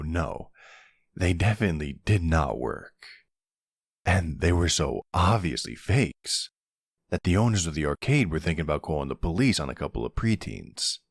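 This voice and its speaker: Man playing a deep, gravelly flirty mafia boss. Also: no echo, studio quality, heavily acted.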